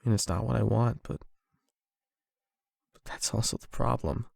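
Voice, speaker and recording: A man performing an intimate confession roleplay as a nervous, lovestruck young man, speaking in a halting, self-doubting, conversational way into a close microphone. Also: fairly low pitch, fairly even intonation, creaky, a little mellow, no echo. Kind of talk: emotional confession roleplay, ASMR-style monologue.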